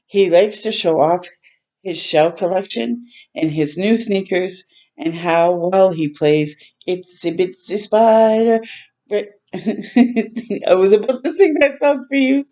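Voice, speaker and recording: A woman hosting a children's storytime, reading a picture book aloud in a gentle, expressive way with tender asides to listeners. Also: medium pitch, takes it slowly. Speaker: children's read-aloud host.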